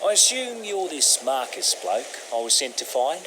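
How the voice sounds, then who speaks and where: Gruff captain, played by a man, animated pitch. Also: moderate pace, background noise, close to an everyday voice. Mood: businesslike. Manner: blunt, slangy. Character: gruff, stubborn, practical military captain survivor. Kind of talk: video game cutscene acting.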